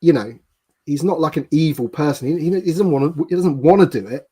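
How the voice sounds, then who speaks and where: A man's voice: a little high, lively intonation, brisk, mellow, breathy edge. A man speaking as a panelist in a casual fan discussion.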